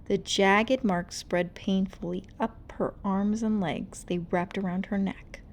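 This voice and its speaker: Slow, expressive woman storybook reader. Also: mid-range voice. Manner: expressive, suspenseful. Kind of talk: dramatic read-aloud narration.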